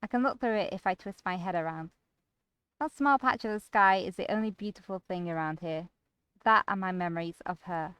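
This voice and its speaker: A woman playing a gloomy, withdrawn man in a visual novel, with a flat, reluctant, grumbling manner. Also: lowish voice, a little fast, smooth, no room sound, natural voice. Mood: wistful. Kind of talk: first-person visual novel acting.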